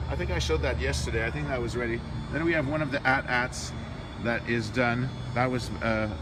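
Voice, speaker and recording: A man's voice: mid-range voice, fairly even intonation, a little fast, background noise. A tired man who is losing his voice, rambling offhand through a handheld walkthrough of a big convention build. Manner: rambling, offhand, trails off mid-sentence. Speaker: diorama workshop host. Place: convention hall floor. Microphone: handheld phone camera.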